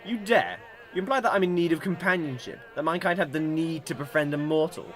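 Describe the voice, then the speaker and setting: A man's voice: a little high, a little fast, noisy background, natural voice. A man acting in a radio drama as a mysterious, proud immortal being, with formal and aloof wording. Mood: offended, haughty. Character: mysterious ageless immortal stranger, proud, coolly probing.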